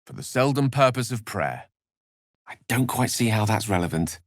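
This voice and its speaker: An actor with a British accent voicing a learned, proud, romantic wizard in a video game, with eloquent, slightly pompous phrasing. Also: male voice, medium pitch, lively intonation, normal speed, dry recording, studio quality, natural voice. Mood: measured, dry. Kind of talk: video game character voice lines.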